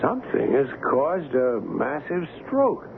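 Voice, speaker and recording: A man's voice: medium pitch, huge pitch swings, slow pace, vocal fry, exaggerated voice. A man acting an earnest, loyal ship's doctor in a 1970s radio drama, speaking firmly and urgently. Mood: shocked. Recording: muffled, noisy 1970s AM radio broadcast.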